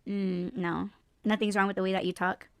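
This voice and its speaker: A woman hosting a podcast in casual chat, loud in tone, laughing often and swearing freely, with little bass in her voice. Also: flat delivery, normal speed, clear, resonant tone, dry recording. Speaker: podcast host.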